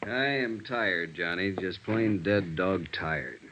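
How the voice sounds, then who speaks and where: An American actor playing a tired, exasperated police sergeant in a 1950s radio crime drama, gruff and pressing. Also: male voice, low-pitched, takes it slowly. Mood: weary, insistent. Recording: dull, noisy vintage radio recording.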